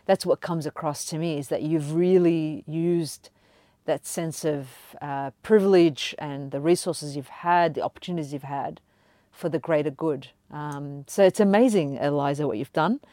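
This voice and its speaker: A woman hosts a podcast in a low, mellow voice. She encourages her guest and talks with frequent hesitations and fillers. The sound is dry and close. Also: moderate pace, no echo. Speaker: podcast host. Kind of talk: conversational interview.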